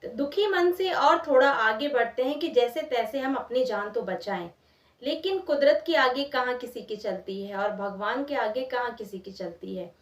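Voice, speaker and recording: A woman's voice: a little high, expressive, normal speed. A woman telling a moral story expressively in everyday, conversational words, repeating phrases for emphasis. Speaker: storyteller, channel host. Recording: roomy echo, distant from mic.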